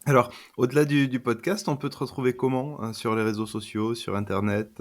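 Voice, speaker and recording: A man's voice: mid-range voice, little pitch movement, a little fast. Man hosting a podcast interview. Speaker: podcast host.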